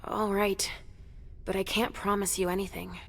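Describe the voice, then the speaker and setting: A woman's voice: mid-range voice, little pitch movement, moderate pace, lightly acted. A woman playing a troubled, wary mother who sees visions, guarded and weary in a video game cutscene.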